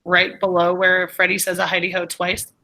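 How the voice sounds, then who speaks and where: A woman's voice: lowish voice, completely flat delivery, normal speed, bright, forceful tone. A woman working as a drama teacher, prompting, correcting and explaining cues during a script read-through. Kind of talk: classroom coaching mixed with reading a play script aloud.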